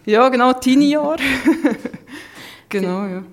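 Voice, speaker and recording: Podcast guest, woman in her thirties, somewhat high voice, blended Swiss German dialect, thoughtful explanatory delivery. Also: takes it slowly. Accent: Swiss German, mixed Lucerne, Thurgau and Zurich. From Switzerland. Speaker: interview guest, food scientist. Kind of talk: casual podcast conversation.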